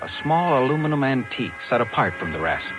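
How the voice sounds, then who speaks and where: An actor playing a brash, hard-boiled talent agent and narrator in a 1950s radio drama. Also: male voice, medium pitch, big pitch swings, fast, music in the background, close to an everyday voice, low-quality recording. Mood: wry, reflective. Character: brash, persistent male New York talent agent.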